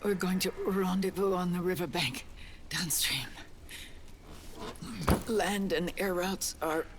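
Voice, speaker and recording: An actress playing a weary, wounded older woman who leads a resistance in a video game, halting and solemn, breathy with strain. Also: on the low side, steady tone, noisy background. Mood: grave, apologetic. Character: weary older female resistance leader, commanding, wounded, melancholy. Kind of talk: dramatic video game cutscene acting.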